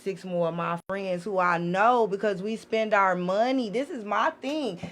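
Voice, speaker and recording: A woman's voice: medium pitch, unhurried. A woman talking as a podcast guest in an impassioned, casual way, blunt, emphatic and given to swearing. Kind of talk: impassioned casual opinion talk.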